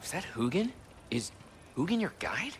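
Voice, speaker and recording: An excitable chatty refugee. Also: male voice, on the high side, moderate pace, slight background noise. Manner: chatty, nosy, swings between excitement and doubt. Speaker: game character. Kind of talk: video game cutscene acting. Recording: game audio with music behind. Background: music.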